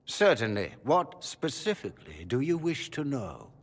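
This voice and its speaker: An actor playing a suave, calculating male magister with a husky voice and courtly, theatrical manners. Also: mid-range voice, sing-song, unhurried, a little hiss or hum. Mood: guarded. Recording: long hall reverb.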